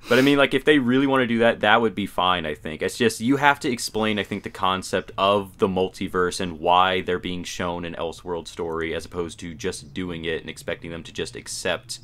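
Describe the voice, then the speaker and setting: A man's voice: deep voice, fairly even intonation, brisk, hardly any pauses, a bit bright. A man co-hosting a podcast, giving his opinions in casual chat. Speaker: podcast co-host.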